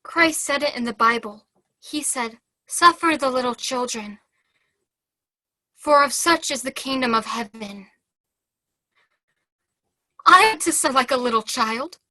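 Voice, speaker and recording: A high, bright, childlike voice of a devout, troubled young nun, acted by a young woman. She speaks slowly and haltingly, earnest and fragile, as in a theatre monologue. The sound is dry and close. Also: no room sound, full character voice. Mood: anguished. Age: teens. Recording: video call.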